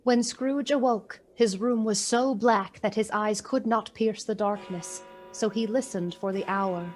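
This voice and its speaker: A woman playing the measured, slightly wry storyteller of a ghost story, in dramatic scripted narration. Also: medium pitch, steady tone, darkish tone, soft background music, natural voice.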